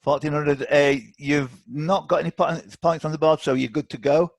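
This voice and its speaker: A man's voice: fairly high pitch, fairly even intonation, normal speed, gravelly. A man hosting a live online quiz, chatty and encouraging as he reads questions aloud. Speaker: quiz host. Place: online video call.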